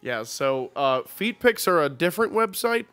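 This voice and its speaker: Jokey young man with a lively, higher voice. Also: moderate pace, smooth, faint music behind. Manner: playful, jokey, slips into silly voices. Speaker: gaming house guest. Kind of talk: casual group chat. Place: living room couch with others.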